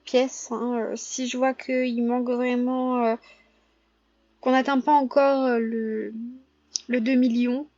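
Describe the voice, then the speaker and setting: Hoarse high-voiced woman with a cold. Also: level tone, dark tone, no echo, muffled recording. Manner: hesitant, many filler pauses and self-corrections. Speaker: gaming vlogger. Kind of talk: casual gameplay commentary.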